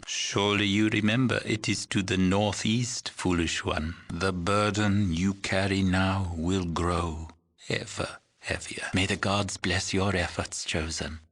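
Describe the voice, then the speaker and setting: Slow, low-voiced old mystic shaman. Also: a bit bright, full character voice. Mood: reproving. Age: older. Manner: slow, ceremonious, cryptic, archaic phrasing. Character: frail old village shaman, mystical, solemn and riddling. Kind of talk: scripted video game voice acting.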